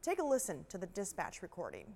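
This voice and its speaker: A woman's voice: medium pitch, wildly swooping pitch, quick pace, darkish tone. A woman news anchor presenting and interviewing in a broadcast style.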